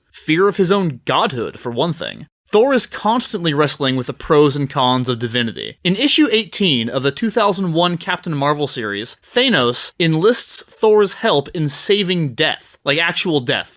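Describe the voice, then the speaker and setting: A man with a fairly high voice and very lively intonation argues his case like a prepared comedy debater. His delivery is emphatic and wry, and the sound is close and dry. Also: no room sound. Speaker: debate podcast panelist. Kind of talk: scripted comedic debate argument.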